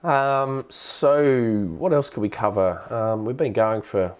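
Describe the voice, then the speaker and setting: A man with an Australian accent and a cold, explaining things casually and haltingly as the host of a hobbyist live stream. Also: medium pitch, clean tone. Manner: halting, hesitant delivery with repeated words. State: has a cold.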